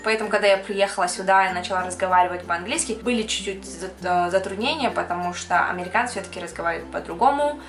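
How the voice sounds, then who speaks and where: A young woman from Kyrgyzstan talks easily to camera as a makeup artist answering her viewers' questions. She chats at an ordinary pitch and mixes English words into her speech. Her voice carries a clear room echo, with faint music underneath. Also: quick pace, hardly any pauses. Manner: chatty, mixes in English words, laughs often. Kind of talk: casual Q&A chat to camera.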